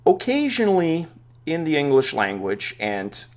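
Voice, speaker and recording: A man's voice: a little high, wildly swooping pitch, measured pace, a little mellow. A man whose first language is Dutch, hosting a casual VR news vlog from his home man cave, chatty and enthusiastic, thinking aloud as he goes. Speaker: VR news show host.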